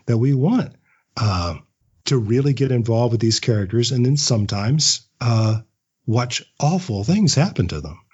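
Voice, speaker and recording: A man's voice: medium pitch, lively intonation, unhurried, a little mellow, husky, lo-fi sound. A man giving casual, reflective interview answers as a guest writer, often repeating words as he thinks. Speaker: podcast guest, audio drama writer; script writing teacher.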